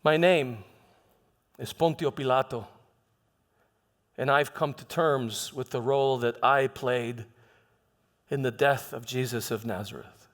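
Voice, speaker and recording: A man playing an aging, haunted Roman governor, delivering a reflective, dignified dramatic monologue in measured, halting phrases. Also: medium pitch, natural voice. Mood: solemn, searching. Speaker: performer in a church dramatization. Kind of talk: dramatic first-person monologue. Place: large church hall. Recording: long hall reverb.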